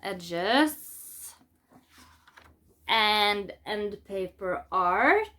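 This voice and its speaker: A woman's voice: medium pitch, expressive, extremely slow, sharp tone. A woman from Norway with a Norwegian accent, a native Norwegian speaker, chatting casually as she presents books as a vlogger. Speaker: book vlogger presenting a haul. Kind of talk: casual chat to camera.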